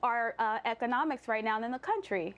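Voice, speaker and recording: A woman's voice: a little high, expressive, fairly quick, muffled recording. A woman with an American accent debating on a TV news panel as a legal analyst, countering in a reasoned way. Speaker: former prosecutor, TV legal panelist.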